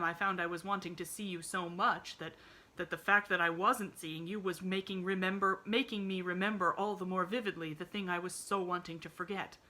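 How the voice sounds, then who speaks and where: A woman voicing a sad, reclusive older man who speaks gently and confidingly. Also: fairly low pitch.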